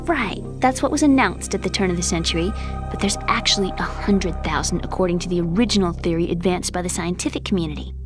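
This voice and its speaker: Actress doing a brainy young woman scientist in a game cutscene, precise and a bit haughty, explains like a lecturer, loud music underneath. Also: on the low side, fast, close to an everyday voice. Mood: lecturing. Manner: lecturing, precise, challenging with pointed questions. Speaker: video game character. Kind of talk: scripted game cutscene dialogue.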